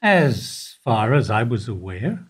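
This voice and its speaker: An actor playing a weary bank security guard who gives dry, evasive answers in a radio play. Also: male voice, mid-range voice, big pitch swings, takes it slowly, breathy edge, heavily acted. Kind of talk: amateur radio play acting.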